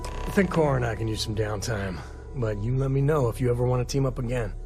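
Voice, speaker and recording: Actor as a folksy easygoing space explorer. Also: male voice, medium pitch, fast, over music, lightly acted. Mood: relaxed. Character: easygoing male spaceship explorer and single father. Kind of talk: video game character dialogue.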